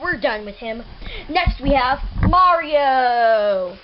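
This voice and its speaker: A young woman with a high voice leads a homemade plush toy courtroom at an unhurried, playful pace, breaking into giggles. The recording is dull and lo-fi from a home camera, with faint hiss behind her. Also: lively intonation. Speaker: narrator and prosecutor of a toy show.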